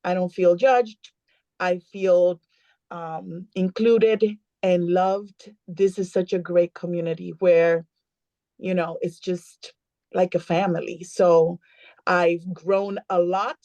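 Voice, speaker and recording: A woman in her fifties whose first language is Spanish, speaking heartfelt and halting in a casual panel talk. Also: fairly low pitch, steady tone, no echo, highs cut off. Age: 50s. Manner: warm, heartfelt, halting with fillers. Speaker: guest, community member.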